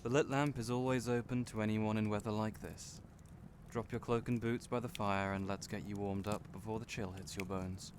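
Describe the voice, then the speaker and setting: A man's voice: on the low side, steady tone, normal speed, close to an everyday voice. A man playing a friendly, teasing young innkeeper in a fantasy audio roleplay, chatty and playful, speaking right to the listener. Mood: welcoming. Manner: chatty, playful, genial storyteller. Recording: noisy storm and fire ambience behind. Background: storm and crackling fire ambience.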